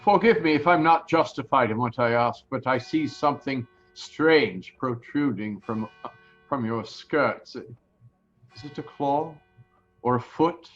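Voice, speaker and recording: An actor playing a fearful, repentant old miser in an audio drama, with a halting, anxious, pleading manner. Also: male voice, mid-range voice, faint music behind. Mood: uneasy. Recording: dull lo-fi sound with faint hum.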